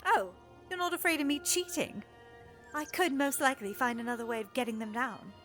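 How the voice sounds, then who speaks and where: A woman's voice: high voice, a little fast, fairly bright tone, faint music behind. A woman playing a polite, eager young princess with formal, earnest phrasing in an audio drama. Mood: teasing. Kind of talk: scripted audio drama acting.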